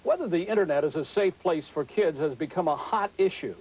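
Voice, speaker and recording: Higher-pitched American male news correspondent. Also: fairly quick, darkish tone, a little hiss or hum, poor audio. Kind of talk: scripted news report narration.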